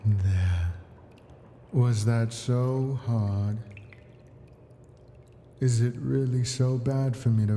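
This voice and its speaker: Ancient male dragon, played by a man, ASMR roleplay: very dark, mellow, slow delivery, possessive and doting. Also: lowish voice, slight background noise. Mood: tender, wounded.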